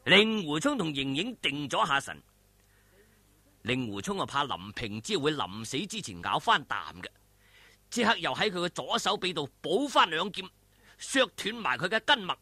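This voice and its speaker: Male storyteller, Hong Kong Cantonese, highish and lively, emphatic theatrical delivery of martial arts tales. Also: normal speed, breathy edge, no room sound. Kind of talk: dramatic wuxia audiobook narration.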